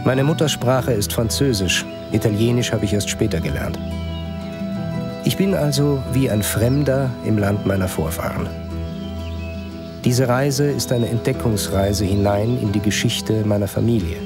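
Low-voiced male documentary narrator. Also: a little slow. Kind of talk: scripted documentary voice-over. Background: music under the narration.

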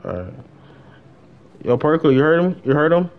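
A man's voice: fairly high pitch, big pitch swings, moderate pace, narrow, tinny audio. A young adult man chatting casually on a gaming livestream in slangy, informal speech with plenty of swearing. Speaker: game livestreamer.

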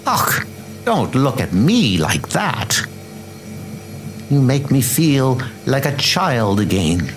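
A man's voice: medium pitch, very animated, slow, faint music behind. A man voicing a fussing, emotional older woman in a comic dub, plaintive and scolding. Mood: wistful.

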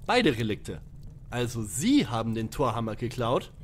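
A man's voice: medium pitch, big pitch swings, moderate pace, a little hiss or hum, lightly acted. A man playing an eager young detective, earnest and formally articulate, in a parody audio drama. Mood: probing.

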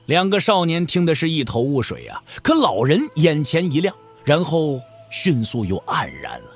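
A man narrating an audio drama as an expressive, colloquial storyteller. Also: a little high, moderate pace, soft background music.

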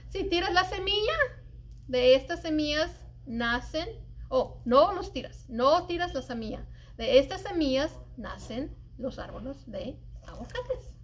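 A middle-aged woman reading a children's picture book aloud as a library storyteller, with expressive, deliberate delivery and drawn-out emphasized words. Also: sits high. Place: indoors in a library children's room. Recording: light background room noise.

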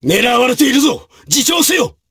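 Gruff, forceful samurai officer, very raspy. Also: male voice, extremely high pitch, big pitch swings, moderate pace, full character voice. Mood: rousing battle cries. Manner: forceful, declamatory. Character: brave armoured samurai officer, gruff and martial. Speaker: video game voice actor. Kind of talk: theatrical samurai battle barks, archaic period speech.

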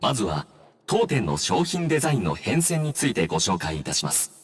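A man's voice: mid-range voice, big pitch swings, a little fast, breathy edge. A man voicing a polite automated robot guide in a game, giving formal, courteous recorded announcements. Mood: politely welcoming. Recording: distant, noisy automated playback sound.

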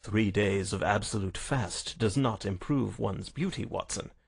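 A man's voice: fairly low pitch, unhurried, vocal fry, breathy edge, full character voice. A man voicing a brilliant, self-assured detective in a storybook reading, wry and theatrical, with precise, lofty courtesy. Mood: self-satisfied, explaining. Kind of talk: dramatised audiobook reading.

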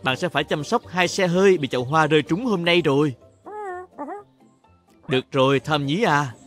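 A man's voice: a little high, moderate pace, fairly bright tone. A man narrating a children's cartoon in speech, talking to the characters like a storyteller for young children. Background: music.

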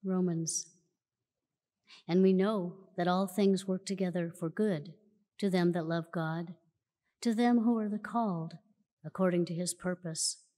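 Slow, solemn woman reading in a hall. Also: fairly low pitch, steady tone, dark tone. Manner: measured, solemn delivery. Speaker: church reader. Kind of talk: slow formal scripture reading. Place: large church auditorium. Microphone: lectern mic from a far camera. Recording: long church hall reverb.

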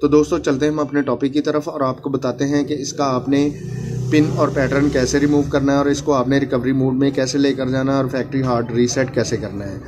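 A man's voice: medium pitch, little pitch movement, brisk. A man talking viewers through a phone repair step by step, repeating each instruction as his hands work. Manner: repetitive instructional phrasing, addressing viewers as friends. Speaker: phone repair tutorial host. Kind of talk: step-by-step hands-on tutorial narration. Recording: noisy home recording.

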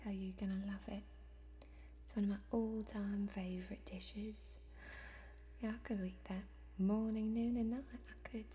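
Woman as a soft, mellow tavern server. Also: mid-range voice, level tone, moderate pace, natural voice. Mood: chatty, bustling. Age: young adult. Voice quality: soft, breathy. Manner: chatty, folksy, eager to please. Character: friendly, bustling tavern serving woman. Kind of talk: soft-spoken ASMR fantasy role-play.

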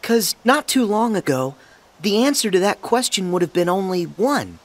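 A man's voice: high-pitched, sing-song, moderate pace. A man playing a cheerful, earnest teenage boy hero in a video game, with an eager, boyish delivery. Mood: earnest, upbeat. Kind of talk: English video game voice acting.

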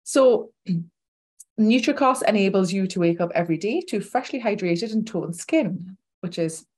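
A woman's voice: medium pitch, animated, unhurried, darkish tone, breathy edge. A woman in her forties with a Newcastle Geordie accent, presenting an informal product webinar.

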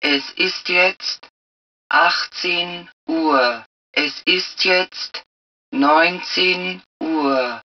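A calm, low-voiced suit computer delivers automated game announcements very slowly. The phrasing is clipped, impersonal and measured. The sound is dull and somewhat removed from the microphone. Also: female voice, far from the mic, heavily acted.

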